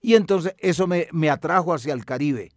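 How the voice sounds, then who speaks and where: An older man from Colombia with a Colombian accent answers interview questions reflectively, in measured, articulate and rather formal language. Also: fairly high pitch, no room sound, studio quality. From Medellín, Colombia. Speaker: cultural radio broadcaster and music researcher.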